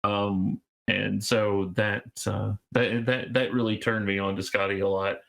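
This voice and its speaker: A man's voice: fairly low pitch, steady tone, measured pace, gravelly, a bit bright. A man of fifty from Georgia, chatting casually as an interview guest, rambling with fillers and restarts. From Georgia, USA. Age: 50s. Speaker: guest game designer.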